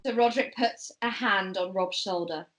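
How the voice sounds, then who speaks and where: Woman, medium pitch, narrator, scripted stage directions, amateur table read. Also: moderate pace, a bit bright.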